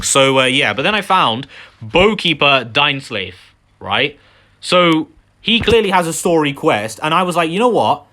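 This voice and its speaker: A man's voice: on the high side, sharp tone. Rambling man doing game commentary. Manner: rambling, filler-heavy. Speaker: gaming youtuber. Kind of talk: casual gameplay commentary.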